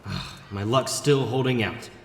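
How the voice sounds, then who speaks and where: A man's voice: mid-range voice, unhurried, creaky, noisy background. A man voicing a plucky, wisecracking young superhero who talks to himself in a comic book dramatic reading. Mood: confident, excited. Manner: animated, talking to himself. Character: young wisecracking superhero photographer, self-critical but plucky. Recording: echoey, long reverb, music underneath.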